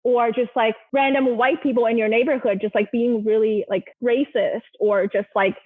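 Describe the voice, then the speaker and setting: A chatty young woman from China speaks over a narrow, tinny call line. Her voice sits fairly high, and her talk is loose and full of fillers. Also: steady tone, moderate pace. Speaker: guest, film project co-creator. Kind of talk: casual podcast interview. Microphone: video call. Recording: tinny phone-like call audio.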